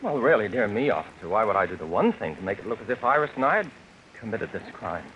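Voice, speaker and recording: A fussy, timid gentleman in a radio thriller. He flutters along quickly with swooping, animated pitch, prissy and easily flustered. Faint rain noise sits on the vintage recording. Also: muffled recording. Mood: flustered protest. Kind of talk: old-time radio suspense acting.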